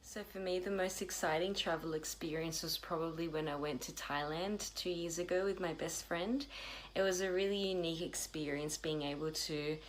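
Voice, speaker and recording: A woman in her twenties from Australia, giving a steady, prepared self-introduction. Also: low voice, moderate pace, slight background noise, low-quality recording. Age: 20s.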